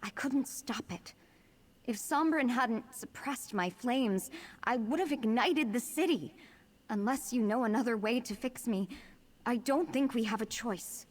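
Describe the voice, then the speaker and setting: A woman's voice: on the high side, unhurried. A woman playing a serious, worried young twin sister with fiery powers in a video game, given to scolding. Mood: grave, troubled. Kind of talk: video game character acting.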